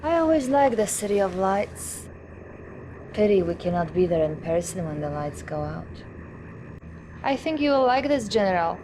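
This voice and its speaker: An actress playing a female Soviet officer giving mission briefings with a crisp, slyly teasing manner. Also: mid-range voice, sing-song, moderate pace, background noise, lightly acted. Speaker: military officer briefing the general. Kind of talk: full-motion video game cutscene.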